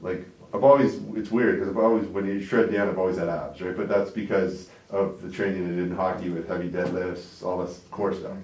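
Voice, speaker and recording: Man, forties, very low voice, rambling interview chat, echoey room, distant mic. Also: steady tone, brisk, hardly any pauses, darkish tone. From Canada. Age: 40s. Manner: rambling, frequent "right?" and "like". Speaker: interview guest, business owner. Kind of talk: casual interview talk.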